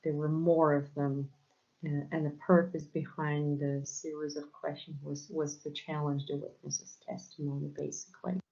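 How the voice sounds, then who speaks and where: A woman's voice: low voice, level tone, moderate pace, gravelly, a little mellow, highs cut off. A woman with a Polish accent, whose first language is Polish, giving an academic lecture with many fillers and restarts. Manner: hesitant, many fillers and repeated words. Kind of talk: academic conference talk.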